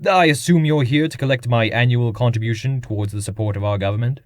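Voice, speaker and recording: A man's voice: medium pitch, quick pace, dry recording, studio quality, natural voice. An actor playing a haughty, wealthy nobleman in a comic dub, speaking in a formal and pompous manner. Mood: courteous.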